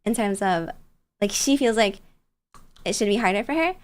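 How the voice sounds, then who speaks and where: A woman's voice: medium pitch, animated, normal speed. A woman streamer telling stories casually in a bubbly, playful, cutesy way. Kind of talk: casual storytelling chat on a livestream.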